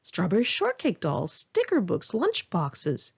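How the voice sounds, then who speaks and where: A woman reading her own memoir as scripted audiobook narration, in a frank, emphatic and expressive manner. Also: mid-range voice, measured pace, vocal fry, husky, lo-fi sound.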